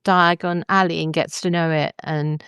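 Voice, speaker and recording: A woman's voice: on the low side, fairly even intonation, moderate pace, a crowd in the background. A woman hosting a podcast in a conversational presenting style. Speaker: podcast host.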